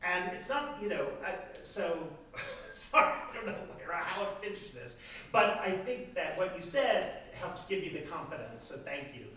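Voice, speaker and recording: A male art history professor thinks aloud in a loose, rambling way, often breaking off his sentences. His voice is bright and slightly high, and it rings through a very echoey hall from some distance away. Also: big pitch swings, normal speed, a little hiss or hum. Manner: rambling, self-interrupting, chatty. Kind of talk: casual talk answering an audience comment. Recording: echoey hall, speaker far from the mic.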